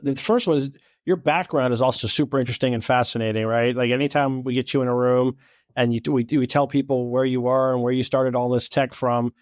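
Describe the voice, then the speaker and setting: A man hosting a business podcast, asking his guest questions with playful enthusiasm. His pitch sits mid-range. The recording is dry and close. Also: fairly even intonation, a little fast, darkish tone, dry recording. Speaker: podcast host. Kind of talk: casual interview chat.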